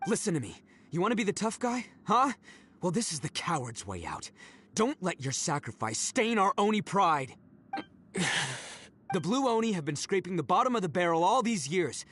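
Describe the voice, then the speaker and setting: A man's voice: high-pitched, a bit bright, slight background noise. A man voicing a brash, big-hearted oni warrior in a video game, with bold, informal tough-guy talk. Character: brash, big-hearted male oni warrior, stubbornly loyal to his kin. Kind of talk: dramatic game voice acting. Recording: background music under the voice.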